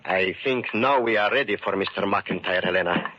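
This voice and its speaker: A man playing a middle-aged foreign shoemaker turned amateur detective in a radio mystery, speaking earnestly in deliberate, formal sentences. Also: low voice, pushed and bright, no echo. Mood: calm, resolute. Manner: deliberate, formal speech without contractions. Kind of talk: 1940s radio mystery acting. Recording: muffled, noisy 1940s radio recording.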